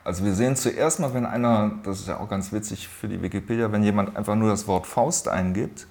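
A man's voice: fairly low pitch, fairly quick, darkish tone, a little hiss or hum. A man explaining something informally, step by step, as he looks it up. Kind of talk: informal explanation while browsing.

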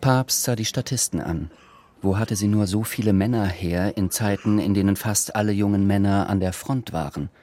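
An actor as the composed narrator of a literary radio drama, measured and precise. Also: male voice, lowish voice, mellow, lightly acted. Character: composed, observant male storyteller.

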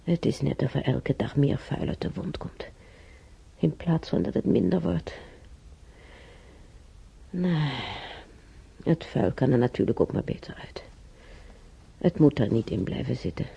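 A frail old woman in a radio play, bedridden, wistful and plucky. Her voice is very dark and soft, badly husky and often breaking into gravel. She talks slowly and haltingly to herself, sighing between thoughts as she looks back. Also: rumbling low, animated, dull audio, exaggerated voice. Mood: weary, stoic. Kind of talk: radio drama monologue.

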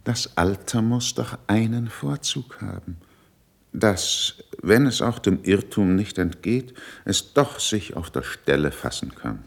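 A worldly older statesman of the court, very deep and creaky in voice. He speaks classical verse with measured rhetoric and a wide, lively melody, critical yet in control of himself. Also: heavily acted. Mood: composed, conciliatory. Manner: measured, rhetorical, emphatic declamation. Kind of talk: classical German verse radio drama acting.

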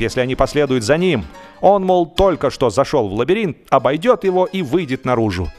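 Male narrator, medium pitch, theatrical humorous storytelling with measured pauses, light music underneath. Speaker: audiobook narrator.

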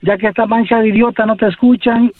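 A man's voice: high voice, steady tone, quick pace, no echo. A man from Costa Rica, raised in Los Angeles, calling in to a radio show and rambling about his opinions over a phone line. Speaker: caller. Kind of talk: phone call-in.

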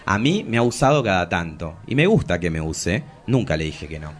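An actor playing a bawdy, melancholic travesti poet in their forties in a theatrical, confessional radio drama. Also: male voice, deep voice, big pitch swings, brisk. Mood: smitten. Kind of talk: radio drama dialogue and monologue.

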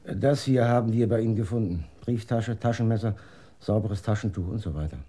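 An actor playing an eccentric, gentle morgue attendant who talks in a halting, confiding way in a radio play. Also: male voice, lowish voice, lightly acted. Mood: matter-of-fact. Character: eccentric, gentle morgue attendant, self-declared crazy fellow.

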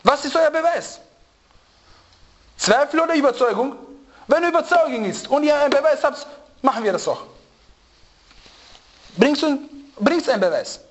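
A man's voice: very high voice, lively intonation, normal speed, pauses often, dull audio. A man teaching a religious lesson to students, emphatic and repetitive, with frequent rhetorical questions, sometimes searching for words. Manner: emphatic, repeats key phrases, asks rhetorical questions.

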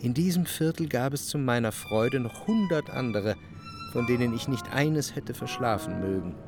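A man playing a reflective, jealous lover who narrates his memories in measured literary prose for a radio drama. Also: mid-range voice, loud music behind the voice, close to an everyday voice. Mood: contemplative remembering.

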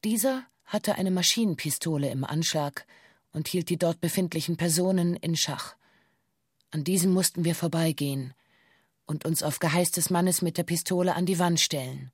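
Low-voiced, formal female witness. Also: moderate pace, husky, no room sound, clean studio sound. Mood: sober, factual. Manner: formal, matter-of-fact, precise. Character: female bank employee giving a formal witness statement. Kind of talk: scripted radio play monologue in stiff police-statement language.

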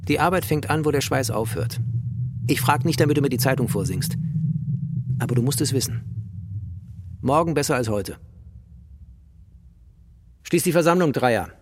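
An actor in a German radio play playing a hard, impatient party official, speaking in a husky, curt voice. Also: male voice, mid-range voice, animated, normal speed, pauses often, background noise, natural voice. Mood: stern, commanding.

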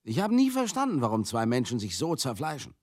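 A man's voice: mid-range voice, huge pitch swings, brisk, darkish tone, dry recording, studio quality. An actor playing a baffled, outspoken man in a conversational radio drama monologue, emphatic and exclamatory, then confiding. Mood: exasperated. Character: baffled, outspoken man musing on a warring couple.

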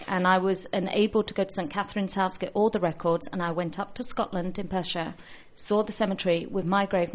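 Brief: animated woman co-host, chatty storyteller, mid-range voice. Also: medium pitch. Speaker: radio co-host. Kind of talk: bilingual radio chat. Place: radio studio.

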